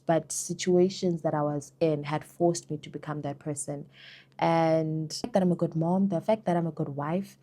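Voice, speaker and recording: A woman's voice: low voice, darkish tone. A young South African woman answering viewer questions in a casual, chatty vlog, often saying "girl" and "you know". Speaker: vlogger.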